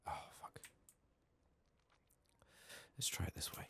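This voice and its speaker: A man giving soft-spoken, partly whispered ASMR commentary on a video game, muttering in a hushed, unhurried way over keyboard and mouse clicks. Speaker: video game streamer, host. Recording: faint noise, keyboard typing and mouse clicks.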